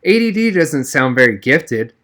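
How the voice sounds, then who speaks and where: A man's voice: fairly high pitch, sing-song, normal speed, a bit bright. A man voicing a wisecracking, put-upon schoolboy in an audiobook, with glib excuses and complaints. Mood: sheepish, glib.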